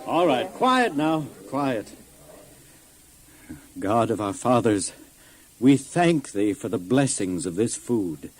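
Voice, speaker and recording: An actor playing a faithful, authoritative Israelite father in an audio Bible drama for children, with a husky voice. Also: medium pitch, sing-song, slow pace, pauses often. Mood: solemn, orderly. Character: steady, faithful Israelite father, head of a wandering family.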